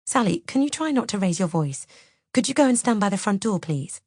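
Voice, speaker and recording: A woman voicing a gentle man who speaks softly and reassuringly, as a character in an audiobook story. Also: medium pitch, very animated, fairly quick, no echo, studio quality. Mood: gently placating. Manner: soft, placating, reassuring. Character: gentle, soothing man calming an upset household.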